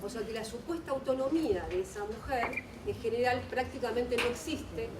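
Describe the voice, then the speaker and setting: A woman's voice: on the high side, normal speed. A woman from Argentina with an Argentine accent, asking an earnest, insistent question at a panel discussion. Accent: Argentine Spanish. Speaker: audience member asking a question. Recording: echoey room, distant mic, noisy.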